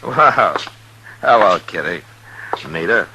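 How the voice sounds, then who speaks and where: Deep-voiced, terse Western marshal. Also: male voice, lively intonation, takes it slowly, noisy background, exaggerated voice. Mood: surprised, awkward. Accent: American. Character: gruff, weary, decent Old West town marshal. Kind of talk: 1950s radio drama acting and narration. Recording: vintage 1950s radio recording, music.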